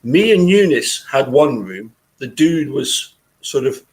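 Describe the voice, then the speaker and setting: A man's voice: medium pitch, expressive, slow. A man hosting a live chat, rambling casually with many repeated words and frequent chuckles. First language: English.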